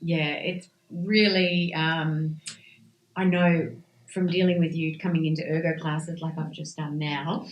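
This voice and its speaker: Aussie woman interviewer, low voice, roomy. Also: little pitch movement, unhurried, lo-fi sound. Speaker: interviewer, cyclist. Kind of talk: casual sit-down chat. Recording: echoey room, faint background sound.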